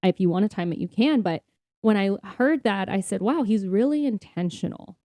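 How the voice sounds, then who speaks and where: A woman with a soft, mellow tone talks as a podcast co-host in a casual faith conversation. She explains things earnestly and informally, drawing on personal examples. The sound is dry, with very little room in it. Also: medium pitch, animated, normal speed, dry recording.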